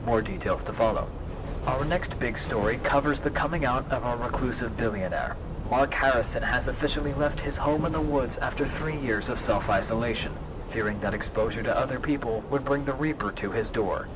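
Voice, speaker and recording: A man's voice: medium pitch, a little fast, close to an everyday voice. Man playing a news reporter over transit noise. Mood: crisp, formal. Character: formal male news reporter on a broadcast. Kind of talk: scripted news reading. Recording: noisy urban transit ambience.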